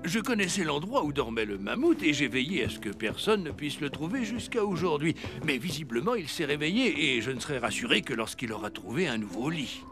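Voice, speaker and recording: A man's voice: a little high, very animated, music in the background. A man playing an anxious, kindly old Father Christmas in a French cartoon, with hesitant, grandfatherly delivery. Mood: solemn, explaining. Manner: hesitant, humming pauses, grandfatherly.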